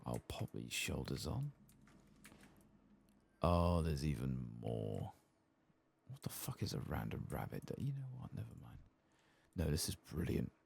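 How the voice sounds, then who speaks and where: Very deep, gravelly soft-spoken man. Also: very animated, measured pace, long pauses, a little hiss or hum. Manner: casual, sweary, murmuring to himself. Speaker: ASMR gaming streamer. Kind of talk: soft-spoken, whispered ASMR game commentary.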